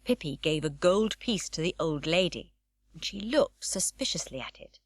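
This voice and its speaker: A woman narrating an unabridged children's audiobook, with expressive, varied storytelling. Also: sits low, normal speed, dry recording, studio quality.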